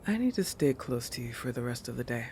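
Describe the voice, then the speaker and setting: A man voicing a possessive, oblivious shark protector in an intimate roleplay audio, halting and musing, often dropping into a breathy whisper. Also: mid-range voice, very animated, darkish tone, a little hiss or hum, natural voice. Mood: protective, clinical pretext. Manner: halting, musing, with thoughtful hums.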